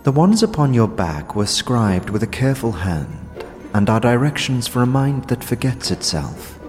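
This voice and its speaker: A man voicing a solemn, cryptic tattoo artist in a fantasy audio drama, speaking gravely and with portent. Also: medium pitch, very animated, normal speed, a little mellow, big-room sound, faint music behind, close to an everyday voice.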